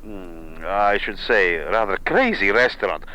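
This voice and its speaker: An actor playing a jovial, sly Moscow host who teases with chuckles, in a radio drama. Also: male voice, sits low, unhurried, pushed and bright, clean tone, rough recording. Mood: teasing. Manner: teasing, chuckling, theatrical.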